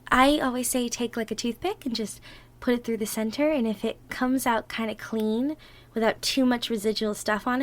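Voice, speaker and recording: Chatty, higher-voiced affectionate girlfriend, acted by a woman. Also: lightly acted. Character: affectionate, excitable girlfriend teaching baking at home. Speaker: audio roleplay performer. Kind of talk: ASMR girlfriend roleplay.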